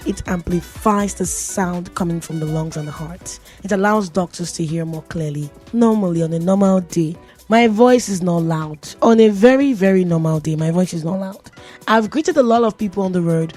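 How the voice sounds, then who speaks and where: A woman's voice: fairly low pitch, moderate pace, a little mellow, faint music behind. A woman with a Nigerian accent hosting a radio show, talking in a chatty, playful way and often laughing. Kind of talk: casual radio tech talk. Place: radio studio.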